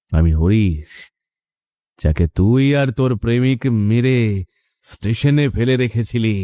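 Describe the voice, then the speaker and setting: Slow, dark-voiced ghost of a murdered father man acting. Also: lowish voice, dry recording, studio quality. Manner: slow, deliberate, ominous. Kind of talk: dramatic cartoon horror acting.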